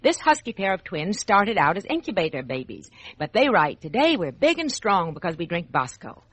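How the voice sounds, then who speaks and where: A woman's voice: on the low side, big pitch swings, normal speed, fairly bright tone, breathy edge, no room sound. An American woman hosting a scripted 1940s children's radio show, addressing children like a storyteller, on an old radio recording.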